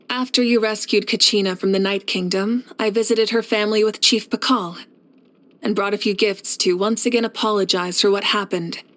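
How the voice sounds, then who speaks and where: A woman voicing a steadfast, caring warrior leader in a video game, speaking in a measured, reflective and assured way. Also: mid-range voice, fairly even intonation, fairly bright tone, lightly acted. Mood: solemn, caring. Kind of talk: scripted video game character voice lines.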